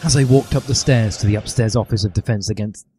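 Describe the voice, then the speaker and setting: A man's voice: lowish voice, fast, mellow, a little hiss or hum. A man reading a parody story in a mock-earnest, emphatic way, occasionally tripping over lines. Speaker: podcast reader of parody fan fiction.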